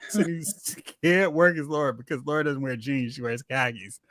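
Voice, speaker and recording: A man hosting a casual, joking movie talk show with an animated delivery. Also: mid-range voice, moderate pace, no room sound. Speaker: podcast host.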